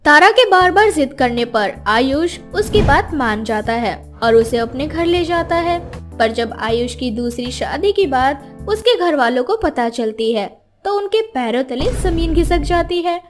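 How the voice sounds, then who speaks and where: A woman's voice: high-pitched, animated, a little slow, faint music behind. A woman narrator reading a scripted story in a dramatic storytelling style.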